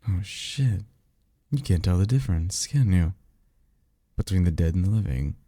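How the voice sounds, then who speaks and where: A man playing a guarded, secretive vampire in an ASMR roleplay, speaking in a halting, insistent, colloquial and sardonic way. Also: low voice, expressive, dark tone, no echo, clean studio sound. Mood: earnest, intense. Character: guarded, secretive male vampire offering magical mentorship. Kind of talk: ASMR roleplay audio drama.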